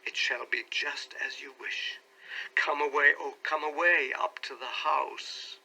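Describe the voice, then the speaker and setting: A man's voice: medium pitch, fairly even intonation, slow, vocal fry, pushed and bright, husky, full character voice, low-quality recording. A man voicing a distraught, secretive wife, pleading with breathless, impassioned desperation in an audiobook story. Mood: relieved pleading.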